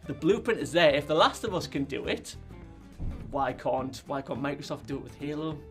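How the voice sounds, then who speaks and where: Hyped guy riffing with friends, kinda high voice, says like a lot, faint music. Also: normal speed. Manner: excitable, rambling, many "like" fillers. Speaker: podcast panelist. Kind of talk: casual group chat.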